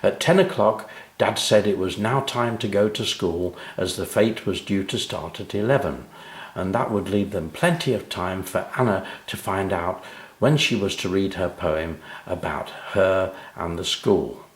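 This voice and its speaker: A man's voice: low-pitched, a little slow, echoey room. A man narrating a children's story expressively, speaking directly to young listeners. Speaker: author and narrator.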